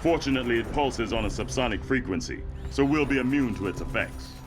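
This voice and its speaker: An actor playing a composed, honorable military general in a video game, speaking in a measured, formal briefing manner. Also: male voice, medium pitch, lively intonation, music in the background, natural voice. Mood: businesslike.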